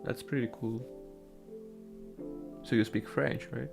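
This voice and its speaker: Young russian-native man, unhurried drawl, rambly and swears a lot, quiet music under him. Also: mid-range voice, fairly even intonation, creaky. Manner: laid-back, rambling, swears casually. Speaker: solo game developer streaming. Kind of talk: casual chat with stream viewers while coding.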